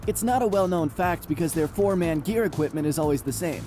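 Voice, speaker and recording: A man playing an analytical young mech pilot in a video game, measured and explanatory, with music behind. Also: fairly high pitch, expressive, natural voice. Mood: explaining. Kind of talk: anime-style game voice acting. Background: game background music.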